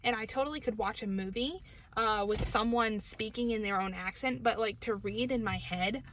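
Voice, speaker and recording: A woman's voice: a little high, normal speed, a bit bright, a little hiss or hum. A woman from New England with a local accent, casually reviewing a book from inside her car, rambling with lots of fillers. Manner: rambling, filler-heavy, many "like" and "um". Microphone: camera in the car.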